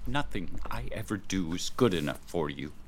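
A man's voice: low voice, animated, normal speed. A man acting in a radio drama as an overworked, defensive, exasperated husband with a terse, irritated way of talking.

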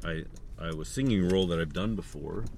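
An opera singer, a man with a slightly hoarse voice, talking casually and haltingly with many restarts and fillers. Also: low-pitched, background noise. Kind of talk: casual spoken reflection.

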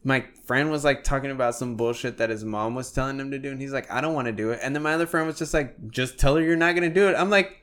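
A young man in his twenties from Mexico, hosting a relaxed podcast chat. He talks loosely, leans on fillers, and swears casually. His voice sits at an ordinary middle pitch for a man. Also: quick pace, hardly any pauses, smooth. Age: 20s. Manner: loose and conversational, many "like" fillers, swears freely.

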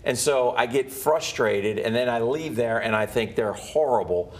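A man's voice: mid-range voice, normal speed. A man co-hosting a sports podcast, talking in a casual, frustrated fan's way with many restarts. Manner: frustrated fan, repetitive restarts.

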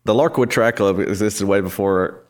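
A man's voice: lowish voice, normal speed. A man in his forties, a worship leader, talking easily about himself as a podcast guest, self-deprecating and loose. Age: 40s. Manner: easygoing, self-deprecating, many ums and you-knows. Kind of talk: casual interview conversation.

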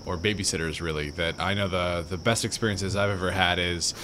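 A relaxed man with a fairly low voice talks thoughtfully in casual chat. His phrases trail off quietly at the ends. Faint cricket sounds come from behind him. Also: fairly quick, a little hiss or hum.

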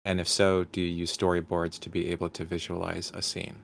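A man moderating an informal Q&A, reading out questions and speaking a little haltingly between them. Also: low voice, monotone, gravelly, no echo.

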